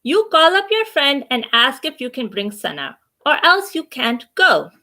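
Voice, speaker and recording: A firm, fair-minded mother, as a woman reads her from a storybook, speaking slowly and clearly instructing her children. The voice is bright and measured. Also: high-pitched, big pitch swings, voice pushed up, heavily acted. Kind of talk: children's storybook read aloud.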